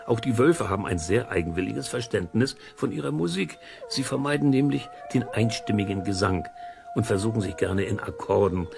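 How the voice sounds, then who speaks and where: A man's voice: lowish voice, expressive, moderate pace, creaky. A man narrating an educational audio play for children, explaining things simply and conversationally with playful comparisons. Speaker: host and storyteller.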